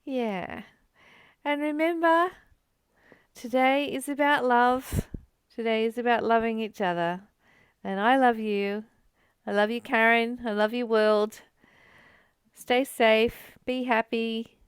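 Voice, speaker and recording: A woman's voice: a little high, fairly even intonation, slow, pauses often, clean tone, no echo. A woman hosting a spiritual study, reading and commenting in an earnest, contemplative, affirming manner.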